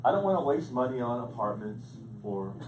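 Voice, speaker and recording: A male pastor preaching a humorous sermon, telling jokes in a playful, animated way. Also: medium pitch, a little fast. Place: large church hall. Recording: echoey hall, distant mic, background noise.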